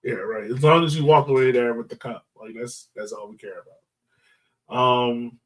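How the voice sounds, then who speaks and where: A man hosts a casual sports chat in informal, slangy language. His voice has a medium pitch and sits back from the microphone, sounding a little distant. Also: fairly even intonation, moderate pace. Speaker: podcast host.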